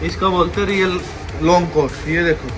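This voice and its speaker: A man's voice: sits high, steady tone, moderate pace, music in the background. Young man with a pushy sales patter. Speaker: wholesale clothing seller. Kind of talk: sales pitch video. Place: large indoor clothing warehouse.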